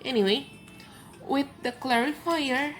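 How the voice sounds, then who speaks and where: Gentle woman card reader. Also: high-pitched, a little slow, faint music behind. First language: Indonesian. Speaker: tarot and oracle card reader. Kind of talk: channeled card reading for viewers.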